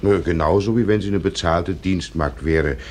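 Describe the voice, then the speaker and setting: An actor playing a middle-aged small-town doctor and father, measured and gently firm, in a radio drama. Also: sits low. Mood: gently chiding. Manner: measured, paternal, gently chiding. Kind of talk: German stage-play radio drama acting.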